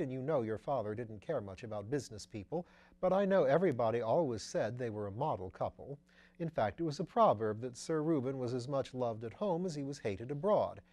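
A man voicing a chatty elderly duchess who rambles breathlessly from one bit of gossip to the next, her phrases rising high. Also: darkish tone.

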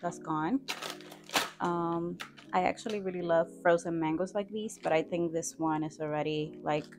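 A woman's voice: on the low side, steady tone, moderate pace, darkish tone, faint music behind. A woman in her thirties vlogging from her home kitchen, talking casually and encouragingly to camera about organizing. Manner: chatty and encouraging, laughs at her own mess. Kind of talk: casual talk to camera with voice-over.

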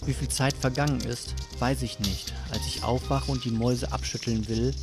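A man's voice: mid-range voice, steady tone, moderate pace. A man reading scripted first-person narration for an audio drama. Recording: loud music over the voice. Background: loud music.

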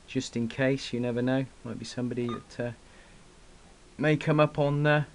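A man giving an unscripted hobbyist product demonstration in a halting way, with frequent pauses, recorded indoors on a camera mic. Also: mid-range voice, fairly even intonation. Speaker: radio hobbyist presenting gear. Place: indoor room at a radio desk. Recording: clear background noise, clicks.

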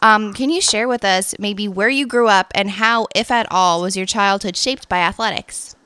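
American lady hosting a podcast, super clear bright voice, chatty and effusive. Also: mid-range voice, normal speed, dry recording, studio quality. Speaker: podcast host, interviewer. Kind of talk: casual interview.

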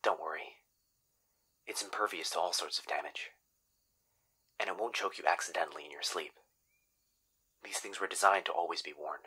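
A caring, anxious young boyfriend, played by a man in an audio roleplay. His low voice is very breathy yet has a sharp, bright edge. He talks gently and hesitantly, often dropping into a whisper. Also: normal speed, pauses often, exaggerated voice. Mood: tender, reassuring.

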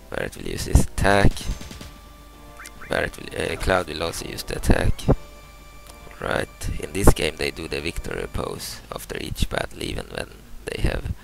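A man from Sweden in his thirties, a native Swedish speaker, talks through a game in hesitant English, repeating words as he explains each move. His voice sits very low with a heavy gravelly rasp, and his pitch rises and falls a lot. Game music plays clearly behind him. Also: poor audio. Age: 30s. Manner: halting, repeats words and restarts, explains moves step by step. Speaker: gameplay commentator. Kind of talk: casual let's-play commentary.

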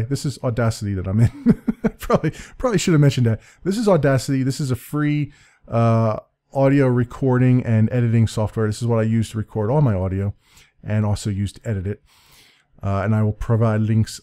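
A man with a deep, mellow voice talks through a casual tutorial from his home computer desk. He rambles, corrects himself mid-sentence and laughs lightly. The sound is close and clean, picked up by a desk-mounted condenser mic with a pop filter. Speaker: let's play creator explaining his audio setup. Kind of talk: casual screen-recorded tutorial.

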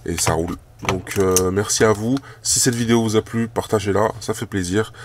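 A man's voice: fairly low pitch, steady tone, moderate pace, noisy background. Man with a cold, chatting casually. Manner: rambling, many fillers and repeated words. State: has a cold. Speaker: card collector and shop owner. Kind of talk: casual video chat while handling cards. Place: indoors at a table covered in trading cards.

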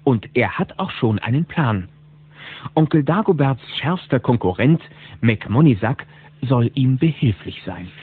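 A man narrating a children's cartoon audio drama as a lively storyteller. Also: medium pitch, a little slow, husky. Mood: storytelling. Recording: dull cassette tape sound.

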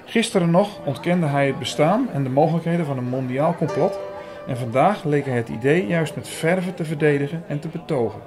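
A man's voice: a little high, expressive, fairly quick, natural voice. An actor playing a sceptical, irritated man who narrates his own story in an audio drama. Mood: wary, reflective. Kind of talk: first-person audio drama narration and dialogue. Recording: music and crowd murmur behind.

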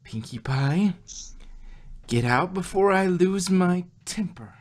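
A woman's voice: lowish voice, lively intonation, takes it slowly, a little mellow. A woman voicing an exhausted, short-tempered, studious young female pony in a fan audio drama, weary and curt. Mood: tired, annoyed.

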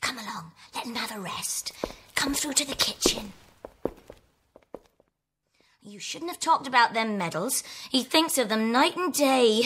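Fussing, kindly English housekeeper. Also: female voice, a little high, animated, normal speed, a bit bright, slight background noise. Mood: fussing, firm. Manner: homely, bossy, colloquial.

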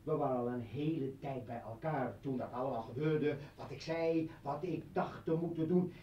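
An actor playing a bitter, menacing revolutionary ex-husband in a radio play, with a taunting, rhetorical manner. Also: male voice, medium pitch, moderate pace, slight background noise, close to an everyday voice. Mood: impassioned, indignant. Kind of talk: Dutch radio play acting. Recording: dull, echoey old radio recording, distant.

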